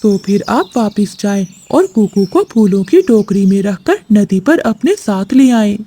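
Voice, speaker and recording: A woman's voice: medium pitch, expressive, unhurried, mellow, slightly rough. A woman voicing a loving, eager father sparrow in a cartoon, with an affectionate manner. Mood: caring.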